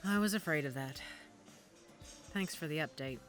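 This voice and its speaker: A woman playing a composed, guarded werewolf pack matriarch in an audio drama, measured and wry. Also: low voice, faint music behind, natural voice. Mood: grim resolve. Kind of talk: naturalistic audio drama dialogue.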